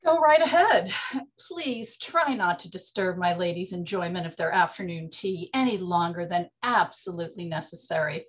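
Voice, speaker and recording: A woman's voice: on the low side, lively intonation, moderate pace, natural voice. A woman playing a genteel, unflappable 1920s tea shop proprietress in an amateur stage play, polite and formal. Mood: gracious.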